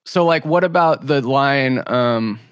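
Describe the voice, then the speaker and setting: A man hosting a podcast interview, asking long conversational questions in a measured, thoughtful, tentative way. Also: medium pitch, fairly bright tone.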